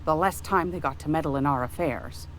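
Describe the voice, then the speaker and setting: A woman's voice: low voice, fairly quick, slight background noise, close to an everyday voice, low-quality recording. An actress playing a folksy, sly older woman in a video game, chatty and homely. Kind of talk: video game character dialogue.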